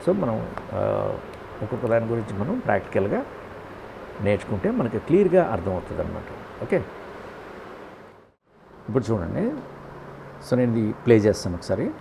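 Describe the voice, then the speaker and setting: A man with a Telugu accent giving a step-by-step software tutorial, posing questions and answering them himself with frequent check-in tags. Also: fairly low pitch, sing-song, moderate pace, vocal fry, long pauses, background noise. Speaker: audio editing tutor.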